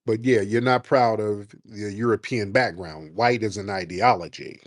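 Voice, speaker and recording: A man's voice: fairly low pitch, normal speed, dry recording, clean studio sound. A man hosting a call-in radio show, arguing with callers and interrupting them to lecture. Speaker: host.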